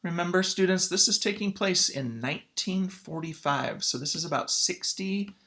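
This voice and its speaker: A man reading a children's book aloud as a teacher, with dramatic, emphatic phrasing and pauses. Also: high-pitched, expressive, sharp tone. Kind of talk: expressive children's read-aloud.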